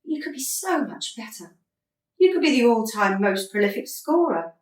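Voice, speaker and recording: Radio comedy: exasperated but patient female football coach played by a woman, articulate, swooping pitch, echoey room. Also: big pitch swings, moderate pace. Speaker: actor in a radio play. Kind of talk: radio comedy drama acting. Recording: roomy echo, distant from mic.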